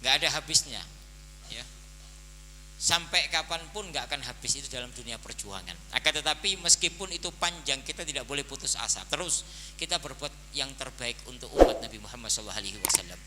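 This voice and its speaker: A man in his forties, head of a boarding school, preaching slowly to his students and often saying "nah, ini" to fill his pauses. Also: medium pitch, expressive, pushed and bright. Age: 40s. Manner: slow, measured preaching with the repeated filler "nah, ini". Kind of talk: birthday speech and sermon to students. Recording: hall reverb with faint noise.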